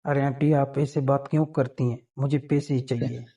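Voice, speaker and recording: A man's voice: medium pitch, little pitch movement, normal speed, creaky, warm tone. A man playing an insistent young man in a staged, conversational phone call, pleading and pressing his point.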